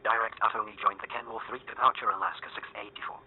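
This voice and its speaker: A brisk, businesslike airline pilot, voiced by a man, talks extremely fast over a narrow radio link with light static. He gives procedural readbacks in a flight simulator game. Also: fairly low pitch, fairly even intonation. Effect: radio filter. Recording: tinny, static-laced aircraft radio.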